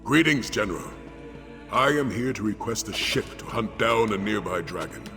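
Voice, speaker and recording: Deep-voiced, formal veteran captain. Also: male voice, animated, pushed and bright, faint music behind. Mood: courteous, restrained. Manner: formal, measured. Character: grave, courteous male veteran captain and dragon hunter. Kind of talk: video game character dialogue.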